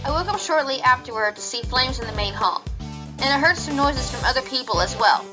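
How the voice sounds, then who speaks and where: A woman's voice: sits high, lively intonation, a little fast, music in the background. A woman playing an earnest, defensive rabbit police officer giving careful, formal testimony in an audio drama. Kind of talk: fan audio drama acting.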